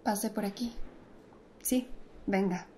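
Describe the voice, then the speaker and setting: A woman acting as a calm, attentive female doctor in an ASMR roleplay, speaking softly and close to the listener. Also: mid-range voice, takes it slowly, slight background noise, natural voice. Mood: gentle. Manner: soft, close-up ASMR speech, gently addressing the listener.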